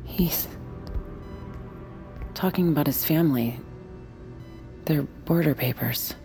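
An actress playing a bitter, wounded woman in an audio drama, halting and grim. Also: low voice, mellow, faint music behind. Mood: grim, shaken. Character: Ida, bitter, wounded woman who refuses to murder.

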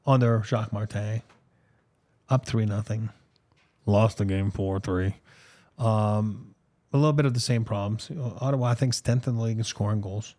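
A man's voice: lowish voice, darkish tone, no room sound. A man, a former hockey player whose first language is French, co-hosting a casual sports podcast with a French accent touched by Boston, rambling with lots of ums and "you know". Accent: French, with some Boston. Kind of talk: casual sports talk with ad reads.

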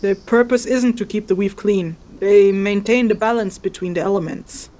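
A woman playing a patient, slightly weary scholarly interviewer in a fantasy audio drama, speaking in a measured, dry, explanatory way. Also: mid-range voice, dark tone, lightly acted. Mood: explaining.

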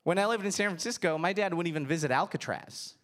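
A man's voice: high voice, fast talker. A male stand-up comedian telling stories conversationally on a theater stage. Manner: animated, conversational storytelling. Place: theater stage before a live audience.

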